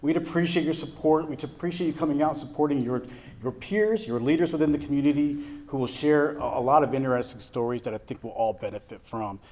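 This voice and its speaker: A man's voice: mid-range voice, quick pace, dark tone. A man giving upbeat prepared remarks at a chamber of commerce gathering, promoting upcoming events. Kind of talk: prepared remarks at a business breakfast. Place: event room at a college.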